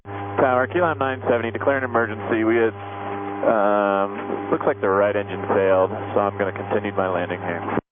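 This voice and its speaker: A man's voice: on the low side, fairly even intonation, a little fast. A man, a pilot, making casual calls to the tower over cockpit radio. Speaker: airline pilot. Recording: tinny, very noisy cockpit radio.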